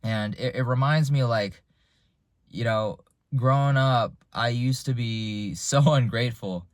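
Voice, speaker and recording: A young man in his twenties, from the United States, musing aloud in an unscripted monologue. His voice sits at a middle pitch, and he often restarts sentences and leans on fillers as he thinks. The recording is dry and close, with little room sound. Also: measured pace, no room sound. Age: 20s. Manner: reflective, rambling, with frequent restarts and fillers. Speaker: vlogger.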